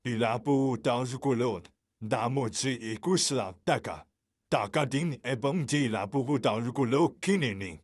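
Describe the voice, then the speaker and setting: A man's voice: mid-range voice, lively intonation, a little slow. A man doing a blunt shopkeeper.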